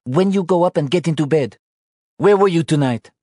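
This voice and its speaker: Man voicing an exasperated, high-pitched angry mother. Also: normal speed, a little mellow, dry recording, clean studio sound, close to an everyday voice. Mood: angry, scolding. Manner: accusatory, exasperated. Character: exhausted, angry mother scolding her wayward teenage son. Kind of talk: audiobook character dialogue.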